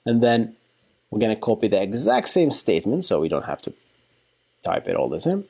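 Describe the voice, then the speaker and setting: A man giving an informal software tutorial, talking through a live demo in a playful, colloquial way and thinking aloud. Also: fairly low pitch, huge pitch swings, brisk, creaky, breathy edge. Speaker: software engineering instructor.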